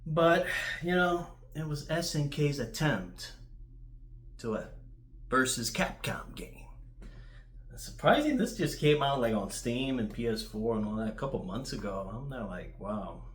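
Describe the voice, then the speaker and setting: A man's voice: medium pitch, lively intonation, measured pace. A man telling stories as a gaming YouTuber in an informal, blunt, sweary, rambling way. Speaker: YouTuber telling stories about video games. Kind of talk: casual rambling storytelling. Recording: roomy, echoey sound.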